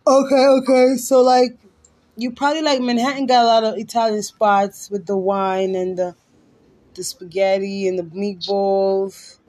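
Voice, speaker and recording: A woman's voice: medium pitch, level tone, unhurried, a little mellow. A woman from the Caribbean hosting a live stream, chatting casually with strangers and teasing them a little. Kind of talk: casual chat.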